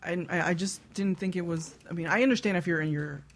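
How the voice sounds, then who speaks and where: A woman hosting a casual, explicit panel chat, halting as she searches for her words. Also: fairly low pitch. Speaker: talk show host.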